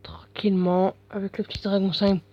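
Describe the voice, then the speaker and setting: A man's voice: sits high, flat delivery, moderate pace, no echo, muffled recording. A man with a bad head cold giving casual, mumbling commentary over gameplay, full of slang and fillers.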